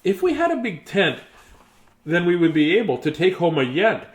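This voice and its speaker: A man with a slightly high voice reads a children's story aloud. His pitch moves up and down in a very animated way. He is theatrical and playful, stepping out of the text for chatty little remarks. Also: normal speed. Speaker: storyteller reading children's books. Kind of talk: expressive bedtime story read-aloud with casual asides.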